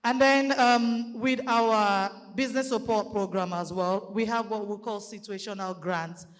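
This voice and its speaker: Nigerian woman speaking loudly in a hall. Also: medium pitch, steady tone, unhurried. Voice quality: loud. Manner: explanatory, methodical, with light fillers. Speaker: conference speaker. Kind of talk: public presentation. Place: conference hall. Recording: long hall reverb.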